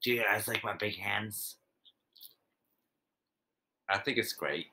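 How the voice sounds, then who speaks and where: Guy doing a goofy self-delighted yeti puppet, slow slacker talk that repeats itself, sharp edgy voice. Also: fairly low pitch, full character voice. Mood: dreamy contentment. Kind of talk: puppet comedy act.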